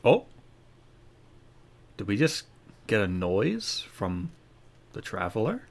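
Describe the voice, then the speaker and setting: A man's voice: lowish voice, huge pitch swings, takes it slowly, creaky. A man hosting a game playthrough with casual, off-the-cuff commentary.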